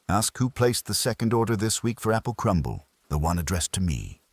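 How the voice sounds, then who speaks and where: A man voicing an imperious, pedantic master detective who gives orders in formal, ornate phrasing with a husky low voice. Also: very animated, a little fast, no room sound. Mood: commanding.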